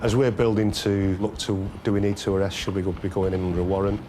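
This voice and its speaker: A man's voice: lowish voice, little pitch movement, fast, dark tone, soft background music. A man recalling police work in an unscripted interview, matter-of-fact and colloquial like a detective.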